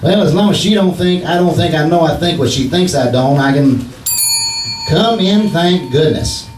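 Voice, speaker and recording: A man's voice: on the high side, normal speed, a little mellow, close to an everyday voice. Man as a blustery, folksy husband, echoey stage. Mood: cocky. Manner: slangy, bumbling, mock-pompous. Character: blustery, cocky, folksy husband, bad at grammar. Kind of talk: 1940s radio comedy acting. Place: theater stage before an audience. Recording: echoey live stage, distant mic, noise.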